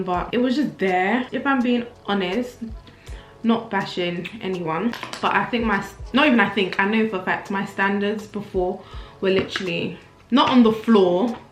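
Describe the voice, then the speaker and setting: A woman's voice: mid-range voice, moderate pace, reverberant, faint music behind. A woman in her twenties from Nigeria with a British accent, chatting candidly while she gets ready at her mirror. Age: 20s. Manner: chatty, candid, self-mocking asides. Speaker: vlogger. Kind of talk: casual get-ready-with-me chat. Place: home room, getting ready at a mirror.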